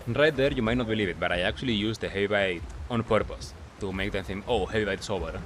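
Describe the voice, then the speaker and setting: A man giving casual gameplay commentary as a recap, talking in short clipped phrases with pauses. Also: on the low side, noisy background. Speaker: gaming video creator.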